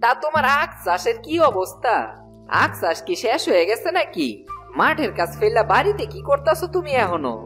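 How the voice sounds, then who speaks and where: A woman's voice: a little high, sing-song, moderate pace, a bit bright, soft background music. A woman playing a chatty, knowing male villager in a cartoon, with a rural Bangladeshi Bengali accent. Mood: curious, questioning. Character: chatty male villager, the farmer's advice-giving friend. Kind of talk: cartoon voice acting.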